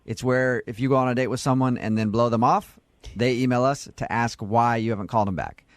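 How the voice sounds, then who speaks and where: A male morning radio host with a mid-pitched voice. He banters and teases his callers in a joking way, recorded close and dry in a studio. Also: fairly even intonation, fairly quick, dry recording. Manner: teasing, joking. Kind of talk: morning radio banter and prank-call hosting. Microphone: close studio microphone.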